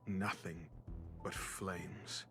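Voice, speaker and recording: An actor playing a cool, calculating investigator in a video game, speaking in a measured, formal, sardonic and theatrical way. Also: male voice, sits low, fairly even intonation, gravelly, music in the background, heavily acted. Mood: grave, ominous. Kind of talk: dramatic video game voice acting.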